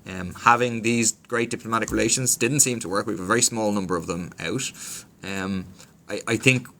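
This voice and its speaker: An Irish man answers viewer questions on a livestream, earnest and persuasive with lots of ums. His voice is fairly low but bright and forceful. A faint hiss sits under the recording. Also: fairly quick. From Ireland. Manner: reads comments aloud, frequent ums, earnest and persuasive. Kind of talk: casual livestream Q&A.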